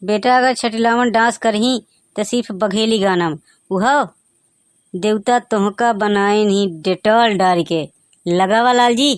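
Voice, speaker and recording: A man playing a put-upon, grumbling village man in a comic cartoon, with a Bagheli accent and a pitched-up voice. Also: unhurried, clean tone, no room sound, clean studio sound. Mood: reluctant.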